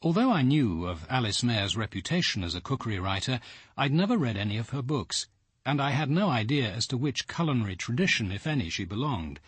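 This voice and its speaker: Husky posh-mannered diary narrator for a radio play, very expressive, fussy and precise. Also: male voice, medium pitch, no room sound. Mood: reflective, appreciative. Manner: formal, fastidious, expressive. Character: precise, cultured male diarist recounting events. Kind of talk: radio drama scripted narration.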